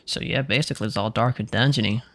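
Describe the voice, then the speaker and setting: A man's voice: lowish voice, fast talker, vocal fry, no echo. A man giving casual, joking gameplay commentary in informal, bro-ish slang. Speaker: game streamer.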